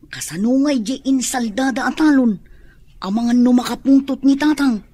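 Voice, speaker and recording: A nervous younger sister type, husky, a bit high. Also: female voice, normal speed, mellow. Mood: uneasy. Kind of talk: radio drama acting.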